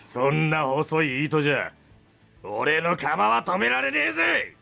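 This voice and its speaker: Menacing, gravelly scythe-wielding villain. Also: male voice, on the high side, lively intonation, brisk, pushed and bright, soft background music, exaggerated voice. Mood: mocking. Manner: taunting, contemptuous. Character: menacing, mocking male scythe-wielding enemy fighter. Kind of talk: Japanese visual novel voice acting.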